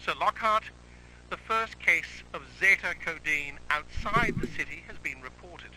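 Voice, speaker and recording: A man's voice: a little high, unhurried, pushed and bright, low-quality recording. A man acting a grave, agitated official in an analog horror drama, stiff and urgent. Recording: buzzy radio-like audio, faint hum.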